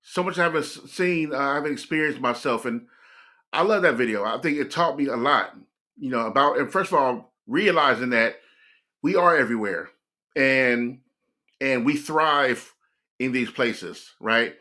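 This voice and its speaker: A man's voice: mid-range voice, normal speed, sharp tone. An American man hosting a reaction video, talking in a reflective, rambling way and addressing his viewers directly. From United States. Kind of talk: casual reaction commentary.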